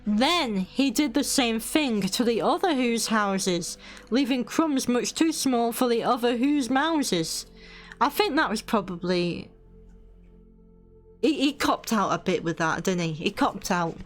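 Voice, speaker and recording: A woman's voice: fairly high pitch, animated, takes it slowly, faint music behind. A British woman streamer reading a bedtime story aloud, with cheeky, playful asides.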